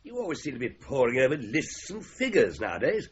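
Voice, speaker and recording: An actor playing a wry, breezy solicitor in a 1980s radio drama, with an English accent and an offhand, drily joking manner. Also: male voice, medium pitch, huge pitch swings, a little fast. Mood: breezy, teasing. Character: wry, flippant male solicitor, breezy and clubbable. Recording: dull, noisy 1980s radio recording.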